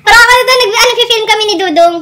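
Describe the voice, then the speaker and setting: A young woman with a Filipino accent speaks in a strikingly high voice. Her delivery is casual, animated and giggly, like a vlogger making prank calls. The recording is clearly noisy, with clicks. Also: fast. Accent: Filipino, Tagalog.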